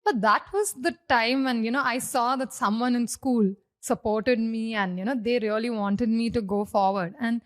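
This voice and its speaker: A husky young woman from South India, a guest in a casual interview, speaks in a somewhat high voice. She tells her stories with energy and slips into the voices of the people she quotes. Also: moderate pace, few pauses. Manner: animated storyteller, acts out quoted dialogue. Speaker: guest singer.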